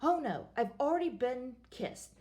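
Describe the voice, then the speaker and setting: A woman's voice: high voice, animated, measured pace, darkish tone. A woman voicing a young male frog prince who pleads in a flustered rush and trips over his words. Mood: pleading.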